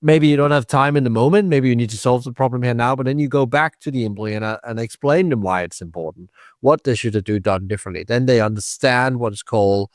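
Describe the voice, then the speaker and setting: Man, podcast host, emphatic, lively, dry close sound. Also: medium pitch, fast talker, no room sound. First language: Danish. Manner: animated, emphatic, checks in with tag questions. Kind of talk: conversational interview.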